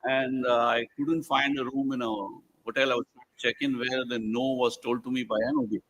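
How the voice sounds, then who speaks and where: Male moderator, Indian accent, mid-pitched voice. Conversational and digressive, halting delivery for a live book panel. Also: flat delivery, a bit bright, low-quality recording. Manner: digressive, halting, fond of lists. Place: live event before a small audience.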